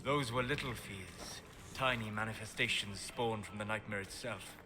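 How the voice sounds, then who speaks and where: Man as a measured, grave scholar of spirits. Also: lowish voice, very noisy, natural voice. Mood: calm explaining. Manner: measured, explanatory. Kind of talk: video game voice acting.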